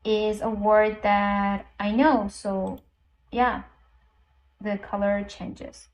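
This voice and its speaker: A woman's voice: medium pitch, little pitch movement, extremely slow, low-quality recording. A woman whose first language is Persian, a language teacher casually walking viewers through an app step by step with many ums and uhs. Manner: chatty, explains step by step with frequent ums and uhs. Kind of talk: casual screen-recorded app walkthrough.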